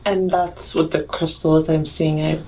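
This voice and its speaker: A woman with a slightly low voice plays a tabletop role-playing game. She talks casually and thinks aloud, feeling her way through what her character does. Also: little pitch movement, normal speed, mellow, slight background noise, low-quality recording. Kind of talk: casual improvised game talk.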